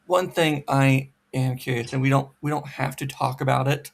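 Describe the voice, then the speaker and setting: Gravelly-voiced man interviewing gently. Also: mid-range voice, fairly even intonation, normal speed. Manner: careful, gentle questions. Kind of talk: oral history interview.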